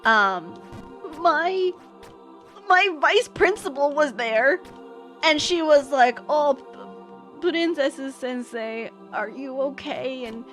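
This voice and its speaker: Young american woman, fairly high voice, chatty rambling storyteller, faint music behind. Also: animated, takes it slowly, fairly bright tone. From United States. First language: English. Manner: chatty, rambling with many fillers and restarts. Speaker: streamer. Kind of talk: casual storytelling chat.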